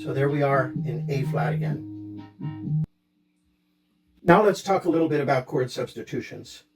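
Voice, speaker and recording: A man's voice: medium pitch, moderate pace. Man explaining music gear step by step. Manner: step-by-step, plainly instructional. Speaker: instrument tutorial presenter. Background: electronic chord instrument being played.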